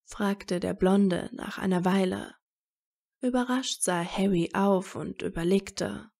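Slow, mellow woman narrator with creaky voice. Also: mid-range voice. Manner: measured storytelling. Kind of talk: scripted story narration of fan fiction.

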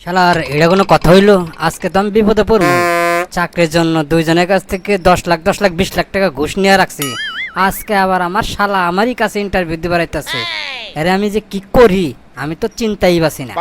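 A man's voice: high-pitched, fast talker, slight background noise, natural voice. A man voicing a scheming, self-important corrupt boss in a comic cartoon, pompous and sly, with colloquial Bangladeshi speech. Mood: scheming aside. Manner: pompous, mock-formal, sly.

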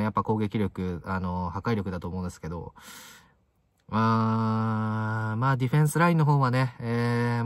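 A man in his twenties giving casual match commentary to camera in short, halting phrases full of fillers, recorded inside a parked car. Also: lowish voice, no echo. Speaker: football fan video host.

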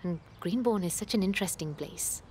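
Actress as low-voiced, politely probing woman. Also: a little mellow, slight background noise, natural voice. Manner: measured, polite. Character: polite, probing female tenant with a watchful air. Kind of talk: radio soap acting.

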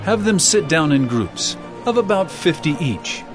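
An actor playing a calm, authoritative male teacher in a dramatized scripture reading, commanding and expressive. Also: on the high side, a little slow. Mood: calm authority. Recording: music bed behind voice.

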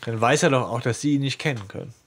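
A man with a medium-pitched voice chats casually as a podcast co-host. He is colloquial, retelling and picking apart a story as he goes. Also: fast talker, husky. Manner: colloquial, acts out quotes while retelling.

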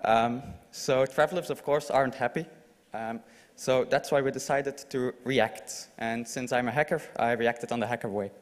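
A man's voice: mid-range voice, steady tone, normal speed, few pauses. Young Flemish man in a reverberant hall. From Flanders, Belgium. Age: young adult. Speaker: conference speaker. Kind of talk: informal lightning talk to an audience. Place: large lecture hall, indoors. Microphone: handheld microphone.

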